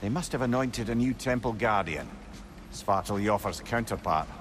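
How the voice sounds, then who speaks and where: A man's voice: fairly low pitch, lively intonation, moderate pace, fairly bright tone, slight background noise. An actor voicing a wise, wry older male talking head with a chatty manner in a video game. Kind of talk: video game cutscene dialogue. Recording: long reverb. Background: game music.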